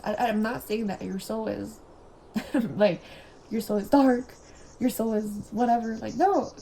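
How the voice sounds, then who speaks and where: A young woman whose first language is French, giving a casual tarot reading in a chatty, giggly, rambling way and sometimes dropping to a soft whisper. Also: a little high, vocal fry, a little hiss or hum, poor audio. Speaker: tarot reader.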